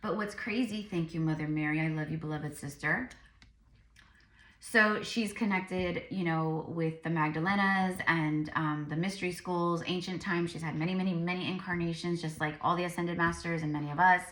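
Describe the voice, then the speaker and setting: A woman with a lowish, bright voice gives a casual tarot reading. She sounds tired and a little crackly, rambling along as she addresses her viewers directly. The room adds a noticeable echo. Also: steady tone, moderate pace. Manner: informal, rambling, addressing viewers as "you guys". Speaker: psychic medium and tarot reader.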